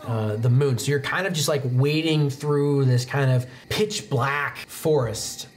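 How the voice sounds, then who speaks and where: A man in his twenties narrating a tabletop roleplaying game as game master, conversational and halting, pausing mid-sentence. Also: medium pitch. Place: indoor studio set at a game table. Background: background music.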